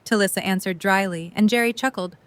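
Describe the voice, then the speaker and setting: A woman's voice: medium pitch, fairly quick. A woman reading scripted story narration for an audio drama.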